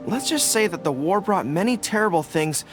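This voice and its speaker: A woman's voice: on the low side, expressive, moderate pace, close to an everyday voice. A woman voicing an earnest, polite young official in a video game, speaking a little hesitantly. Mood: earnest, explaining. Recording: loud music behind the voice.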